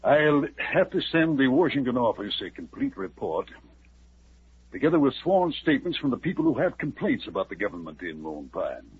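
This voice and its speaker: An actor playing a cautious army colonel, formal and measured and sometimes hesitant, in a 1950s radio drama. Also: male voice, mid-range voice, sing-song, lightly acted. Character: cautious middle-aged male army colonel. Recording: muffled old radio recording with faint hiss.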